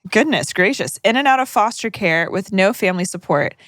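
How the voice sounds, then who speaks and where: A woman's voice: mid-range voice, expressive, brisk, fairly bright tone, no echo, studio quality. Profane young woman podcast co-host. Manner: profane, joking asides while reading. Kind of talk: casual chat, reading listener letters aloud.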